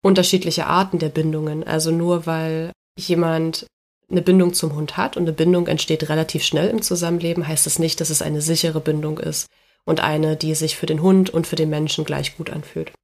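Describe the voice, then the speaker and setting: A woman with a cold and a stuffy nose, hosting a casual podcast chat as a dog trainer, relaxed and chatty, laughing easily. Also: low-pitched, normal speed.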